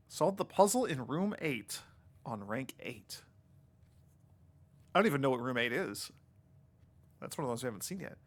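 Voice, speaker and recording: A man's voice: mid-range voice, fairly bright tone. A congested, sniffly man hosting a let's play at home, thinking aloud casually and reading game text out as he plays. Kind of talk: casual gameplay commentary. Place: home, indoors.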